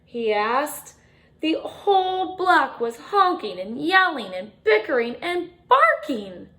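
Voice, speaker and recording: A woman reading a picture book aloud to children like a teacher, expressively, with dramatic emphasis and chatty asides. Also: high voice. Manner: expressive, dramatic emphasis, drawn-out refrains, chatty questions to young listeners. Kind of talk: animated children's storytime read-aloud with asides to listeners.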